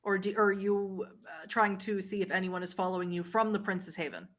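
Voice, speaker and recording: A woman's voice: medium pitch, steady tone, fairly quick, fairly bright tone. A woman speaking as game master of a streamed tabletop roleplaying session, agreeing readily with players.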